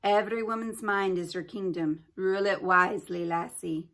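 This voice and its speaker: A woman's voice: medium pitch, steady tone, normal speed, fairly bright tone, close to an everyday voice. A woman voicing a kindly old Irish great-grandfather with a thick brogue, speaking in folksy sayings. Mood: fond.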